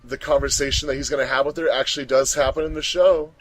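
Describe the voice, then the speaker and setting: A man's voice: mid-range voice, fairly even intonation, fast, dry recording. A man hosting a casual, chatty commentary track among friends. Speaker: host of fandub commentary track.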